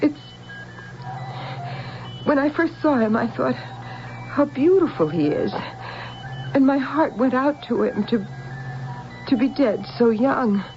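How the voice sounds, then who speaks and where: An innocent, devoted young woman in a vintage radio play. She speaks slowly and haltingly in a fairly high voice, full of feeling and wonder. The sound is dull, like an old broadcast with music. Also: animated, a little hiss or hum, exaggerated voice. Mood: distressed. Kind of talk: 1970s American radio drama acting.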